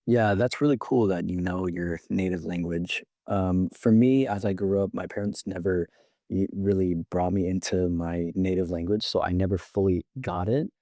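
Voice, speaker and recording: A man's voice: low-pitched, normal speed, no echo, clean studio sound. A teenage boy co-hosting a student podcast, chatting casually with plenty of fillers like "like" and "you know". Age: teens. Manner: relaxed, with frequent fillers. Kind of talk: casual conversational podcast chat.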